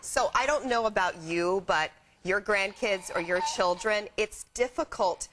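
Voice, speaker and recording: A woman with a medium-pitched voice demonstrates products on a live shopping show. She sounds upbeat and chatty, telling it like a personal story, with faint noise behind her. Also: measured pace, fairly bright tone, low-quality recording. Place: TV shopping studio. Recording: faint background studio noise.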